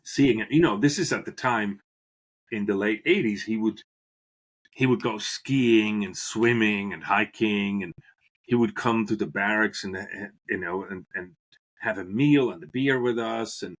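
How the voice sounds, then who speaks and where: Middle-aged Swiss-born man, low-pitched, earnest podcast guest recounting his life story. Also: measured pace, a bit bright, low-quality recording. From Switzerland. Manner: earnest, restarts phrases while searching for words. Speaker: guest, business professor. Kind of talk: podcast interview, reflective storytelling.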